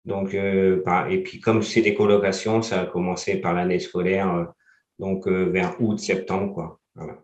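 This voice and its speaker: Man, 40s, from France, low voice, hesitant, lo-fi roomy recording. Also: little pitch movement. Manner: slow, hesitant, many fillers. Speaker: guest. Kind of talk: casual interview.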